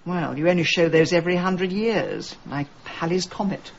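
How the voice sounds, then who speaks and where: An actress playing a witty, stubborn middle-aged British woman sleuth in a radio drama, with a wry, expressive delivery. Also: lowish voice, normal speed, vocal fry, lo-fi sound, slight background noise. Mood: earnest, pleading.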